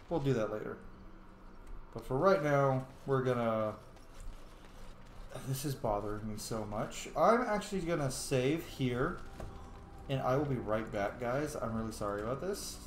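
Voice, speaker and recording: A man hosting a role-play gameplay video, moving between casual commentary and lines spoken as his character. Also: medium pitch. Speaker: gaming video host playing and voicing his character. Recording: echoey room with faint background noise.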